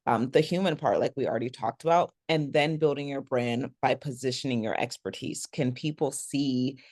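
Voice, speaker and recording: A woman business coach speaking as a podcast guest, enthusiastic and animated, her voice a little raspy after a long event. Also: very deep voice, no echo, clean studio sound. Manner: animated, enthusiastic, quick to explain. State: slightly raspy after a three-day event. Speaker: guest, personal branding coach. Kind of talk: podcast interview conversation.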